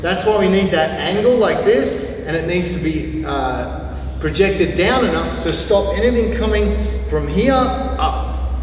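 Kung fu instructor echoing in a gym. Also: male voice, high voice, noisy background. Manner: instructive, demonstrating moves while talking. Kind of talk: martial arts demonstration lesson. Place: large martial arts gym, indoors. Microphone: distant camera mic. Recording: echoey hall from far off.

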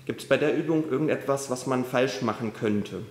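A man interviewing off camera, asking calm, brief questions and summing up the answers. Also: medium pitch, normal speed, a little hiss or hum. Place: large hall. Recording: echoey hall.

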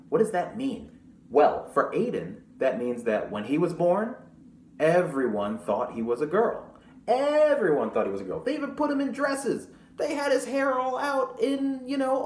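A young man reading a picture book aloud to children, pausing to explain things simply and ask questions. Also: mid-range voice, a little mellow. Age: young adult. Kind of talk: storytime read-aloud with explanatory asides. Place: small room at home, indoors. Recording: roomy echo with clear background noise.

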